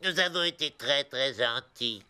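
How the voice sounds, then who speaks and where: A man voicing a sly little goblin mischief-maker in a French children's cartoon, with drawn-out exclamations. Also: medium pitch, expressive, bright, forceful tone, clean tone. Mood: falsely meek.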